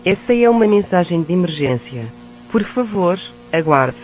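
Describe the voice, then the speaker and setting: A man's voice: sits high, expressive, a little fast, a little hiss or hum, low-quality recording. A man reading a scripted emergency announcement in a formal, synthetic-sounding way.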